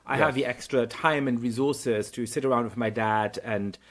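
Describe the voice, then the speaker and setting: Man, middle-aged, interview host, mid pitch, thinking aloud, stumbling repeats. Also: fairly even intonation, moderate pace. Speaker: podcast host. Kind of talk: conversational interview.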